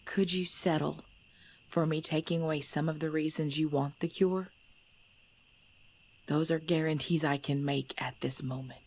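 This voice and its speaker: A woman playing an ancient, composed vampire in a supernatural ASMR drama, speaking in measured, formal, deliberate phrases. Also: deep voice. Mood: calm, enticing. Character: ancient female vampire, composed, knowing, darkly playful. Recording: steady background noise with chirping crickets.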